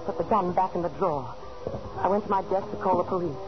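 An actress playing a frightened, scheming wife who narrates anxiously in the dramatic style of a 1940s radio thriller. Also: female voice, on the low side, quick pace, noisy background, lightly acted. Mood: tense, brooding. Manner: anxious, dramatic first-person delivery. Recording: muffled old 1940s radio recording, organ music.

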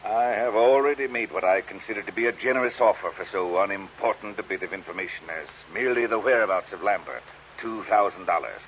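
A man's voice: lowish voice, fast talker, close to an everyday voice. An actor playing a pompous, falsely courteous male villain with florid, formal phrasing in an old radio drama. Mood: grandiose, persuasive. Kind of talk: 1950s radio drama acting. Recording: hissy, dull old radio recording.

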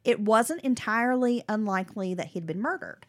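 A woman's voice: medium pitch, normal speed. A woman co-hosting a podcast, reading a prepared history story with casual, slangy asides. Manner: animated, drops into slangy asides. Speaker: podcast co-host telling the story.

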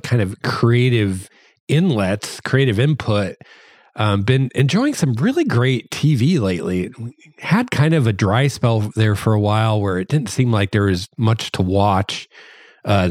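A man from the American Midwest hosting his own podcast, talking in a relaxed, chatty way with many fillers and repeated words, his voice slightly hoarse from allergies. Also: on the low side, dry recording, studio quality. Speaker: podcast host. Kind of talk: casual solo podcast monologue.

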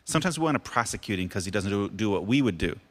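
Low-voiced male pastor explaining scripture. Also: expressive, fast, long reverb tail. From United States. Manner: explaining, plain-spoken, laughs often. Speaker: teaching pastor, podcast co-host. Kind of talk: casual devotional Bible talk.

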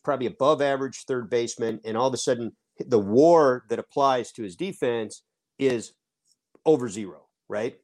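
A man's voice: fairly high pitch, darkish tone. A middle-aged man from Seattle, a baseball writer on a sports talk podcast, making his case emphatically and at length. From Seattle, USA. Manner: emphatic, argues a point at length.

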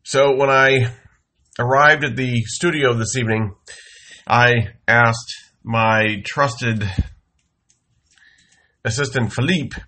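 A man with a head cold hosts his show from a small studio and takes his time with each sentence. His tone is bright and forward, and he moves between wry deadpan and bursts of comic exasperation. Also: mid-range voice. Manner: slow, wry deadpan storytelling with exasperated outbursts. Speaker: podcast host. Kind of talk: comic monologue. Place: home studio.

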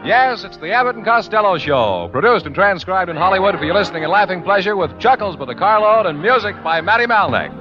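A man's voice: sits high, expressive, fast talker, hardly any pauses. A man announcing a show in grand, rousing 1940s radio style. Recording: muffled 1940s radio with loud music.

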